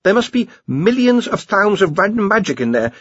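Man as a high, nervous young wizard scholar. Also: sing-song, a little fast, a bit bright, slightly rough, no room sound, lo-fi sound, full character voice. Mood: anxious. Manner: hesitant, anxious, mumbling, polite.

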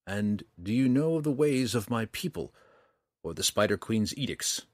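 A man voicing a guarded, solemn male dark elf in a fantasy audiobook, speaking softly and gravely. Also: fairly low pitch, animated, no room sound, studio quality, natural voice. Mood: pained, hesitant. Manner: soft, measured, grave.

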